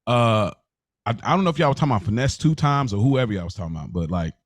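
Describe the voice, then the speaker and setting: A man's voice: fairly low pitch, animated, quick pace, no room sound. A man co-hosting a podcast, talking in an informal, slangy, rambling way as he feels out his point. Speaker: podcast co-host. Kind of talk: casual podcast conversation.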